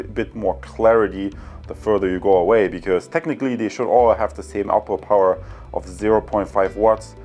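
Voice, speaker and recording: A man with a fairly low voice hosts an unscripted product review. He speaks informally and straight to the viewers, in an easygoing vlog style. Faint music plays behind him. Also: darkish tone. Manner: informal, addresses viewers as guys.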